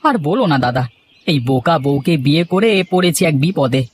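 A man's voice: a little high, expressive, normal speed, slight background noise, lightly acted. A man voicing an exasperated, hungry village husband in a comic cartoon, complaining with melodramatic flair. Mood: rueful complaining. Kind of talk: Bengali comic cartoon dialogue.

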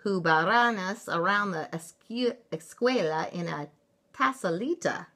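A woman's voice: medium pitch, expressive, unhurried, fairly bright tone, voice pushed up. A woman voicing a worried chihuahua gang leader in a storybook, exclaiming with Spanish words mixed in.

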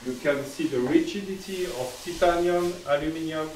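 A man explaining technical details to visitors in a factory, pausing often to search for words. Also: fairly high pitch, a little mellow. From France. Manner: halting, frequent uhs, searching for English words. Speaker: company engineer guiding a tour. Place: indoor factory workshop. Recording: roomy echoing workshop.

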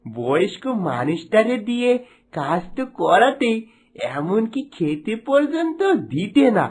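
A woman playing a devoted son and stern husband, dramatic and deliberate, in a cartoon melodrama with music underneath. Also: medium pitch, sing-song, darkish tone, breathy edge. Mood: disgusted, scolding.

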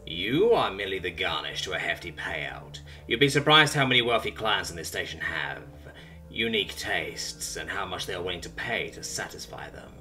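A man voicing an ancient, bitter alien abductor with needle teeth, speaking slowly and menacingly, often in a whisper. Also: low-pitched, sing-song, pushed and bright, faint music behind, voice pushed down, full character voice.

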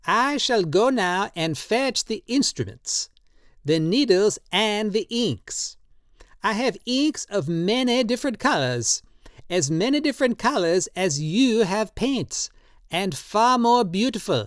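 A storybook reader voicing an excitable, drunken old man who pleads and insists, raised well above the reader's own voice with an altered tone. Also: medium pitch, slow pace, no room sound, clean studio sound, voice pushed up. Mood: eager.

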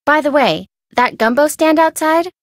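A woman's voice: sits high, animated, normal speed, no room sound, clean studio sound. An actress playing a young, curious female detective in a video game, asking polite questions. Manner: inquisitive, polite.

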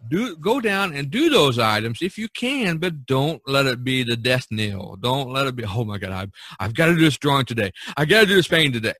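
A man's voice: mid-range voice, bright, forceful tone, dry recording. A man hosting a casual podcast, giving advice in a rambling, folksy way full of "you know". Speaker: podcast host and painter. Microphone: video call recording.

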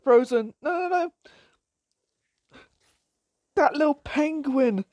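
A woman with a croaky voice chats her way through an online game, laughing at her own moves and shouting excitedly. Her voice sits a little high, and she talks at an unhurried pace. The sound is dry and close to the mic. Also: dry recording. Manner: giggly, excitable, frequent laughs and exclamations. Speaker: gameplay commentator. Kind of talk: casual gameplay commentary.

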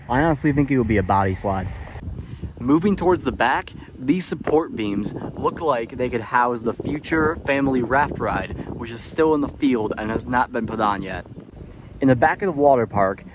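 Guy doing a travel vlog update, normal mid voice, explaining stuff casually, bit of outside noise. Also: mid-range voice. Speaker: vlogger, channel host.

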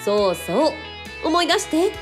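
A woman's voice: sits high, big pitch swings, measured pace, over music. A woman playing a playful female show host who coaxes and eggs others on in a comic radio drama. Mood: teasing, coaxing. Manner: bubbly, coaxing, exclamatory.

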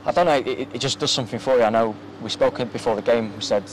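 A young man, a footballer, giving a soft-spoken post-match interview outdoors at a floodlit ground at night, with many ums and restarts, into a handheld mic. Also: mid-range voice, fast talker, rough recording. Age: young adult. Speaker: professional footballer. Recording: outdoor ambience with faint noise.

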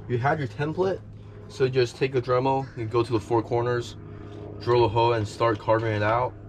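A man giving a casual, step-by-step how-to narration as he works with his hands. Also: mid-range voice. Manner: plain instructional, talking while working with his hands. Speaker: host of a DIY boat how-to video. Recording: clearly noisy on-location recording.

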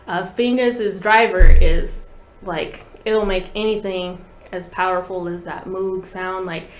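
A woman's voice: on the low side, fairly even intonation, slow, roomy sound, low-quality recording. A woman in her thirties chatting informally and slangily as a musician guest in an interview. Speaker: guest, talk box musician. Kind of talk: casual interview chat, storytelling. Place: small podcast studio, indoors. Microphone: desk podcast microphone.

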